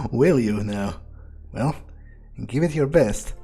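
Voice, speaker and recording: Slow, mellow, sardonic in-character gamer. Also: mid-range voice, expressive, soft background music. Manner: sardonic, unhurried, pauses mid-sentence, swears. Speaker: game player role-playing his character. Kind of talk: in-character game playthrough commentary, thinking aloud.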